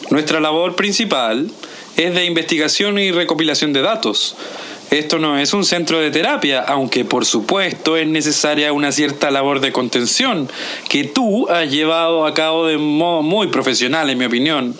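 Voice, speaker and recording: A man with a Chilean accent playing an effusive, smooth-talking, self-assured entrepreneur in an audio drama. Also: a little high, moderate pace, bright, forceful tone, slight background noise. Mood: measured, persuasive. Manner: exclamatory, formal, self-assured. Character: effusive, smooth-talking male entrepreneur running a research lab.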